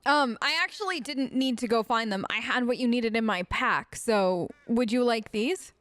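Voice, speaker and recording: A woman's voice: fairly high pitch, moderate pace, a bit bright, dry recording. Chatty woman gamer in a dry recording. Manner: chatty, wry, informal. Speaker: gaming video host. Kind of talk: let's play role-play, casual in-character dialogue.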